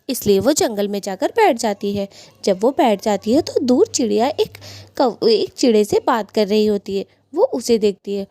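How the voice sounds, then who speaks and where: A woman's voice: a little high, warm tone, no room sound. A woman narrating a children's cartoon story.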